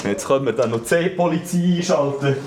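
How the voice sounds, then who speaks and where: A man's voice: medium pitch, fairly quick, close to an everyday voice. A man with a Swiss German accent playing an easygoing, joking police colleague in a children's radio play, talking casually. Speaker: police officer. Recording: roomy, distant, noisy recording.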